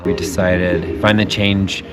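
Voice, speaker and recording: A man with a fairly low voice talks casually and reflectively, as a travel vlogger would. Clear ambient noise sits behind him. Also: little pitch movement, takes it slowly. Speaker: travel vlog host, nonprofit representative. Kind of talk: casual reflective talk to camera.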